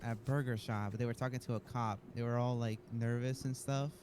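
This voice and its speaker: A man plays an uneasy visitor in a game role-play. He talks casually and hesitantly at a middling pitch, with faint noise in the background. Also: fairly even intonation, normal speed, darkish tone, close to an everyday voice. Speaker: role-player in an online game. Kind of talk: improvised in-character chat.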